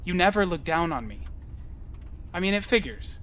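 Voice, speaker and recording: Earnest, expressive teenage outcast boy played by a man. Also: high voice, moderate pace, a little hiss or hum. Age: young adult. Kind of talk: audio drama acting.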